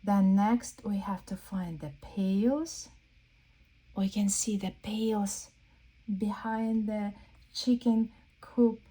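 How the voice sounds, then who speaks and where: Slow, soft husky woman reading to kids. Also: mid-range voice, steady tone, rough recording. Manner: playful question-and-answer, prompting listeners to look; simple, repetitive phrasing aimed at small children. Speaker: children's read-aloud host. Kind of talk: interactive picture-book read-aloud for young children.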